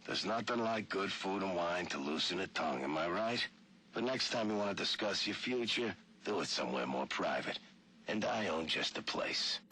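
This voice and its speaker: An actor playing a menacing, sly crime boss with a short temper and a raspy voice in a video game. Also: male voice, low voice, lively intonation, moderate pace, pushed and bright, a little hiss or hum, poor audio. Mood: sly menace. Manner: sly, insinuating, threatening. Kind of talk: dramatic video game phone-call acting.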